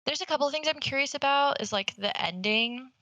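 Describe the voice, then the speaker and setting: A woman co-hosting a gaming podcast, chatting casually in an animated, halting way and often correcting herself. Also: high-pitched, no room sound, dull audio. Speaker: podcast co-host.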